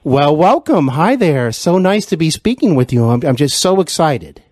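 Guy hosting an interview podcast, polite and deliberate, highish husky voice, very up and down, dry sound. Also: sing-song, a little mellow, dry recording. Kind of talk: scripted introductions and polite interviewing.

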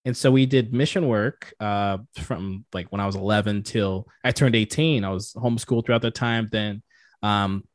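A man's voice: on the low side, moderate pace, no room sound, studio quality. A young man from Tanzania, a podcast guest, telling stories in a laid-back, slangy way. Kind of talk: casual chat.

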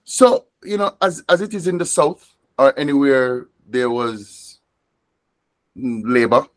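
A man's voice: medium pitch, unhurried, dry recording. A Jamaican man, a reggae artist, talking reflectively in an interview, often restarting and repeating words as he gathers his thoughts. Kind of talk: conversational interview.

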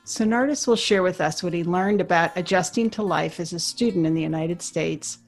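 A woman's voice: on the low side, moderate pace, faint music behind. A woman hosting an interview podcast, moving between scripted introduction and conversational questions.

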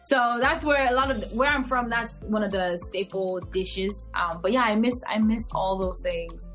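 A woman in her thirties with a Cameroonian English accent talks as a podcast guest. She tells stories expressively and fills pauses with small hesitations. Faint music sits under her voice. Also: medium pitch, fairly bright tone. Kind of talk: podcast interview, casual chat.

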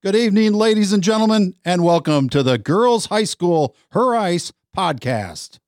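A man's voice: high voice, lively intonation, moderate pace, a little mellow, no room sound, studio quality. A man with an American accent reading a scripted podcast intro and sponsor spots as the announcer.

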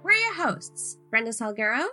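A woman's voice: on the high side, sing-song, normal speed, over music. A woman hosting a podcast, talking casually with fillers and laughing easily. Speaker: podcast host.

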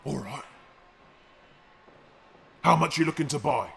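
Bitter underworld dealer, creaky, bright and forceful, distrustful, audio drama villainy. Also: male voice, on the high side, animated, a little slow, full character voice. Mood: wary, businesslike. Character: bitter, wary male underworld arms dealer, betrayed.

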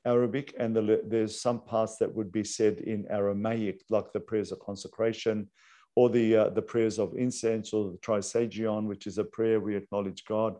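A priest, explaining unscripted with hesitant fillers and restarts. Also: male voice, on the low side, level tone, normal speed, warm tone. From Australia.

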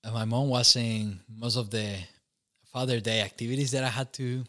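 A man's voice: mid-range voice, flat delivery, normal speed, pushed and bright. A young man from Costa Rica with a Costa Rican Spanish accent, a podcast guest telling his life story, laughing often and restarting phrases. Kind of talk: conversational interview.

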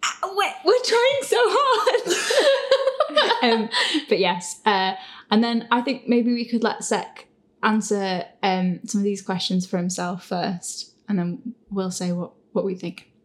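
A woman hosting a casual, giggly chat, animated and often acting out imagined reactions. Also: medium pitch, takes it slowly. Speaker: host and podcast creator answering listener questions.

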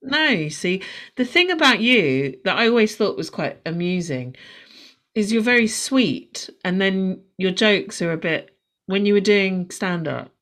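Chatty woman in her forties, lively pitch. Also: a little slow. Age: 40s. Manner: chatty, laughing, swearing freely. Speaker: podcast co-host. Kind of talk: casual chat.

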